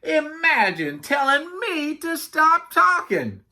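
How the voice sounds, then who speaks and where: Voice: sits high, big pitch swings, slow pace, bright, forceful tone, voice pushed up, heavily acted. A storybook reader voicing a chatty, self-important yak taxi driver who rambles indignantly and obliviously. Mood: boastful, rambling. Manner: rambling, indignant, never lets others speak.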